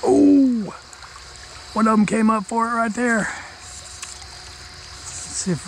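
A middle-aged man narrating a fly tying tutorial in a relaxed, chatty way, thinking aloud as he works. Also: high-pitched, slow, a little hiss or hum. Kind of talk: casual instructional narration. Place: outdoors on a wide river.

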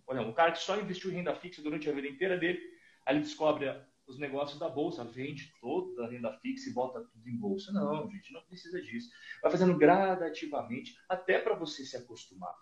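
Brazilian guy in his 30s, guest analyst, lively storyteller, slightly high voice, echoey lo-fi call audio. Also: a little fast. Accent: Brazilian Portuguese. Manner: animated storytelling, acting out dialogues. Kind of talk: casual podcast interview. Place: small room with purple lighting. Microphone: headset over video call.

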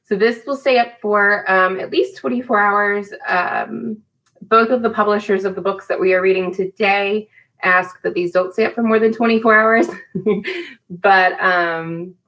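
A woman's voice: mid-range voice, steady tone, measured pace, a bit bright, low-quality recording. A woman in her thirties leading a live storytime for young children, encouraging and speaking to them directly as a teacher would. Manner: sing-song greetings to children by name. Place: small indoor room at home.

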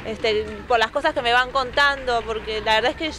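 A young woman in her twenties with an Argentine accent, speaking shyly and softly with many fillers in a street news interview. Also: on the high side, brisk. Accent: Argentine Spanish. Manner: shy, soft, with many fillers. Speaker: new mother interviewed. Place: city sidewalk outdoors. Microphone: handheld reporter microphone. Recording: faint street noise behind.

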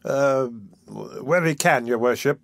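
A man's voice: medium pitch, slow, creaky, dry recording. Man acting a hesitant, deferential court officer.

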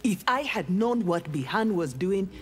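An actress playing a proud, earnest young armored ninja woman in a video game, speaking in formal, measured, resolute lines. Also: medium pitch, sing-song. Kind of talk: dramatic video game dialogue.

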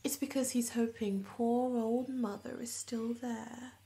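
Woman playing a creaky, cruel sneering bully. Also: on the high side, fairly even intonation. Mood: cruel mocking. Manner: mocking, drawn-out, sometimes hushed. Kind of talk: audio roleplay acting.

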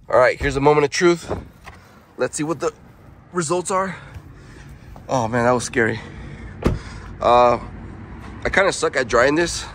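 A man's voice: fairly high pitch, a bit bright, slight background noise. A young man vlogging from inside his truck in a car wash, talking to viewers with nervous yelps and laughs on a handheld phone. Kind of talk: casual vlog commentary. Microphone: handheld phone camera.

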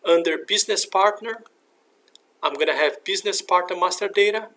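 Guy doing a software walkthrough voiceover, pretty high voice, explains it step by step. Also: a little fast. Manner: step-by-step, instructional. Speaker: software demo presenter. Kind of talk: scripted software tutorial narration. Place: screen recording voice-over.

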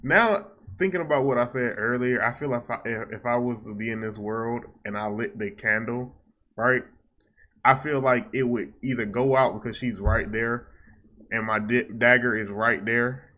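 A young American man hosts a reaction video. He comments casually in a medium-pitched voice with a bright, punchy edge. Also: normal speed, slight background noise.

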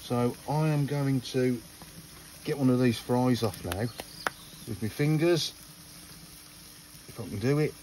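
A man's voice: mid-range voice, slow, long pauses, warm tone, slight background noise, rough recording. An older man hosting a casual outdoor cooking vlog, chatting to viewers as he cooks at a garden grill. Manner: chatty, talks to viewers while cooking, says "look" often.

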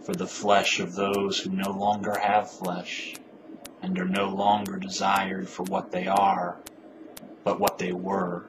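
Young male poet, low and very slow, brooding overwrought recitation, noisy amateur recording. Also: vocal fry. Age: young adult. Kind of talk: solemn dramatic poetry recitation. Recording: noisy amateur video playback.

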